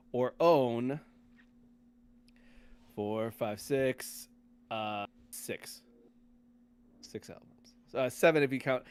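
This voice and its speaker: A male podcast host talks in a casual, conversational way. His voice sits at a medium pitch. He tends to restart and rephrase his thoughts as he goes. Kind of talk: casual chat.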